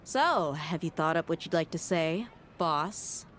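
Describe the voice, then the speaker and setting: A woman playing a confident, outspoken female mercenary in an anime-style video game, talking in a casual, expressive way. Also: sits low, a little slow, a little hiss or hum. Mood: playful, confident.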